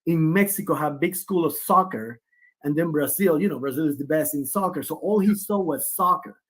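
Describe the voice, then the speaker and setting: A man's voice: a little high, moderate pace, slightly rough. A man in his forties, a guest on a remote video call who talks at length with many restarts. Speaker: guest, player's father. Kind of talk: casual interview.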